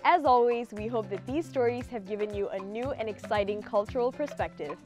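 A young woman hosting a student television talk show from a studio set, friendly and quick to laugh. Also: a little high, over music. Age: young adult. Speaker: host.

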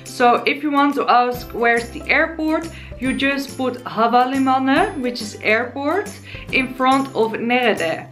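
A Dutch woman in her twenties with a Dutch accent, chatting on camera from her living room as she teaches basic words, and slowing down to spell them out. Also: sits high, animated, fairly bright tone, soft background music. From Netherlands. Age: 20s. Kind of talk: casual vlog lesson. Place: living room, sofa, indoors. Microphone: camera in front, seated.

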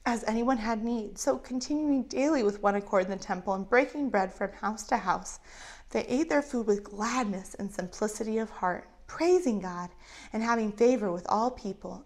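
A woman in her thirties with a lapel mic, telling a Bible story to children in an animated way with acted-out voices. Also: mid-range voice, normal speed. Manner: animated, acts out voices of characters. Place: indoors in front of a map backdrop.

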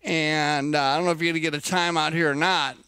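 Man, color commentator for a polo broadcast, chatty and homespun, slightly high voice, dry studio sound. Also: fairly even intonation, fast, bright, forceful tone, clear, resonant tone, no room sound. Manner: chatty, folksy asides.

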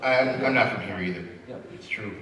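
A man's voice: fairly low pitch, fairly quick, very noisy. A man moderating a convention panel, asking questions in an enthusiastic, joking way. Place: convention hall, audience present. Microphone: handheld mic, far camera. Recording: echoey hall from far off.

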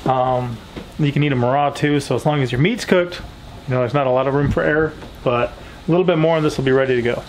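A man's voice: mid-range voice, fast talker, slight background noise, poor audio. A man in his thirties, a home cooking vlogger, casually explaining a simple recipe in a small kitchen as he cooks. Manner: relaxed, rambling, explains as he cooks. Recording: clear kitchen sizzle behind voice. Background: pan sizzling and frying.

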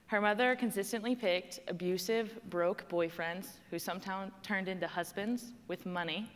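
A woman's voice: medium pitch, fairly even intonation, normal speed, long reverb tail. A young woman with a Texan accent giving a prepared personal speech on stage, telling her story in a conversational, emphatic way. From Texas. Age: young adult. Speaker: student panel speaker. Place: stage in a large hall.

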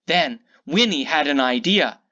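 A woman narrates a children's storybook in a theatrical read-aloud style. Her pace is unhurried and her pitch swings widely, stretching key words for emphasis. The recording sounds a little dull and lo-fi. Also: expressive, fairly bright tone.

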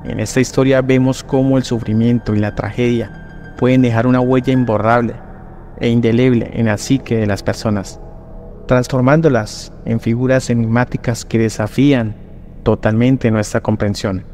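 A man reading a scripted horror legend in first person, slow and solemn, with drawn-out pauses. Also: mid-range voice, steady tone. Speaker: narrator. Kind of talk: dramatic scripted horror narration. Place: voice-over over rainy night images. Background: soft background music.

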